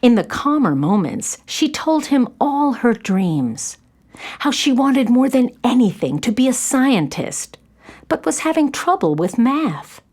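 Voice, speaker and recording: A woman narrator reading a scripted children's story in an expressive storytelling manner. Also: on the high side, a little slow.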